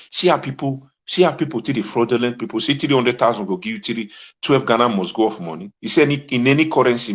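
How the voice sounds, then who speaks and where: A man's voice: medium pitch, a bit bright. A Nigerian-accented man delivering an impassioned informal rant to his audience in Pidgin, exclamatory and insistently repeating his phrases. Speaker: talk show host. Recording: dull lo-fi audio.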